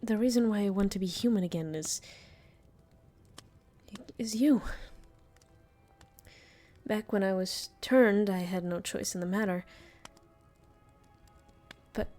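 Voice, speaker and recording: A woman playing a centuries-old vampire master, tender and weary, confiding softly in an intimate audio roleplay. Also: mid-range voice, soft background music, natural voice. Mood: hesitant, reflective. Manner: soft, slow, hesitant, confiding.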